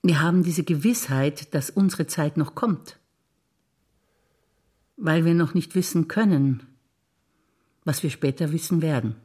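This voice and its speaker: An older woman performing scripted stage dialogue, wry and teasing in quick exchanges. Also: low-pitched, mellow, husky. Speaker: stage actor.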